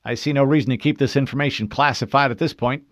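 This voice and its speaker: A man's voice: mid-range voice, fast, no echo, studio quality, voice pushed up, close to an everyday voice. A man voicing an officious, assertive male navy lieutenant in an audiobook, speaking formally and insistently. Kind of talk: audiobook character voice.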